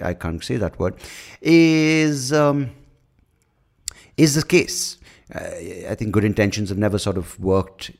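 Deep-voiced man in a profane, sarcastic rant. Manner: blunt, profane and sarcastic, with rambling asides; breaks into mocking shouts and laughs at his own jokes. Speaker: podcast host. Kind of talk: casual solo podcast monologue.

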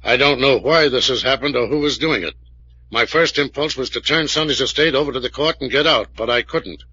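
An actor playing an authoritative, careful police captain in old-time radio drama, firm and official. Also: male voice, mid-range voice, a little fast, pushed and bright, slightly rough. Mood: reading aloud gravely. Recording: muffled vintage radio recording.

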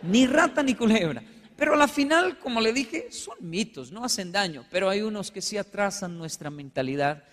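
A Colombian man with a notably high-pitched voice gives a humorous motivational talk. He tells stories in an animated way, acting out short exchanges as he goes. His voice rings with the long reverb of a big hall. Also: normal speed. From Colombia, with Japanese background. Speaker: motivational speaker. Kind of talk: humorous storytelling talk to a live audience. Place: large hall with live audience.

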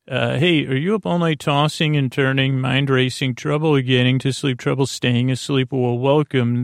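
Creaky-voiced man rambling softly. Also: medium pitch, dry recording, clean studio sound. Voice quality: creaky, soothing, lulling. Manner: meandering, full of tangents and filler sounds. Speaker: podcast host. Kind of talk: rambling bedtime podcast intro.

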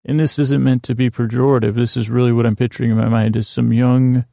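A man's voice: mid-range voice, level tone, fast, gravelly, mellow, no echo, studio quality. A man hosting a bedtime podcast, meandering whimsically through a soothing monologue meant to lull listeners to sleep. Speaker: podcast host.

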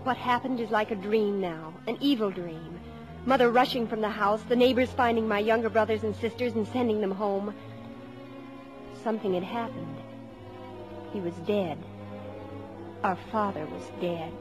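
An actress playing a sincere, devoted teenage daughter who also narrates a 1950s radio drama, with a wistful manner. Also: female voice, mid-range voice, moderate pace, long pauses, music in the background, natural voice, low-quality recording. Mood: wistful, narrating.

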